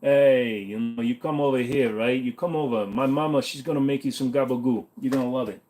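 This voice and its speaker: A swaggering middle-aged mobster, chummy and full of himself, as a streamer puts him on for laughs. The drawl is thick and exaggerated, pitched in a middle range. He leans on every line like he's letting you in on something. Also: a little fast, darkish tone, natural voice. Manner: exaggerated street-tough drawl.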